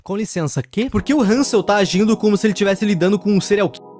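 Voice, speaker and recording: A man's voice: high-pitched, fast talker, a little mellow, soft background music. A man narrating a commentary video in a casual, sarcastic, critical way, full of rhetorical questions.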